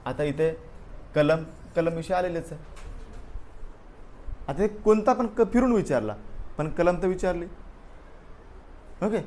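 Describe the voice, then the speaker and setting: A man's voice: on the high side, animated, a little slow, pauses often, dark tone. A man teaching a live exam-coaching class, going over a past paper and prodding students with frequent "okay" and "right" fillers. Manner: repeats "बरोबर आहे" and "ओके" as fillers, prods students directly.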